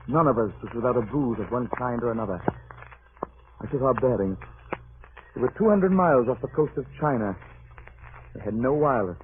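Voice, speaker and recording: An actor playing a solemn freighter captain, narrating with measured, literary intensity in a 1930s radio drama. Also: male voice, medium pitch, close to an everyday voice. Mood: grave, weary. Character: grave, dramatic male freighter captain recounting disaster. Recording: scratchy, narrow-band 1930s radio broadcast.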